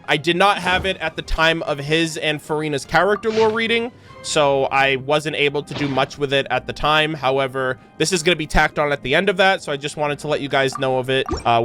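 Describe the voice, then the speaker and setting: A man giving casual, conversational and emphatic commentary as a game streamer. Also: a little high. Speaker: streamer reacting to video game lore. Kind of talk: casual commentary, with some reading aloud. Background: background music.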